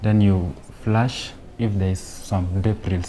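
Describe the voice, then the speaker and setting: Calm low-voiced male nurse explaining. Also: takes it slowly, slight background noise. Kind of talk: explaining nursing care.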